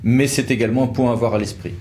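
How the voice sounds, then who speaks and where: Male legal expert, medium pitch, informal explainer discussion with colloquial turns of phrase. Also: steady tone, very fast, darkish tone, a little hiss or hum. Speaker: lawyer explaining procedures.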